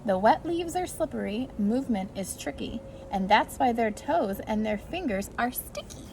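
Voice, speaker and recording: High-pitched woman reading a children's storybook. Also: lively intonation, moderate pace. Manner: sing-song rhyming delivery addressed to young children. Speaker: volunteer reading a picture book to preschoolers. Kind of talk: read-aloud children's storytime with brief asides. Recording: clearly noisy amateur recording.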